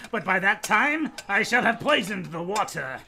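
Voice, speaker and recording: A man's voice: high voice, sing-song, measured pace, gravelly, pushed and bright, slight background noise, full character voice. A man playing a gleefully deranged, vengeful poisoner in a radio play, gloating and ranting theatrically. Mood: gloating, menacing.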